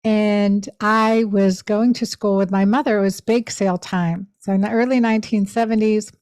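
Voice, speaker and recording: A woman's voice: medium pitch, fairly even intonation, moderate pace, darkish tone, dry recording. A woman in her fifties from Pennsylvania, hosting a podcast and telling a personal story in an earnest, heartfelt, reflective way. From Pennsylvania, USA. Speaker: podcast host.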